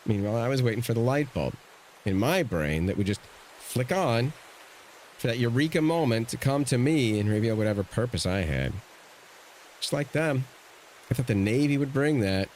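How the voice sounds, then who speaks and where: Audio drama uncle, middle-aged ex-navy, male actor. Earnest, fretful, lively swings in pitch, some noise behind. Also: normal speed, lightly acted, low-quality recording. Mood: reflective, tender.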